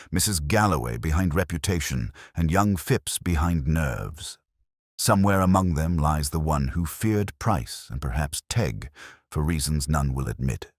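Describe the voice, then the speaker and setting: A man voicing a calm, courteous middle-aged police inspector who speaks gently and in a measured way. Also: low voice, no echo, clean studio sound, exaggerated voice. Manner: gentle, measured, quietly probing.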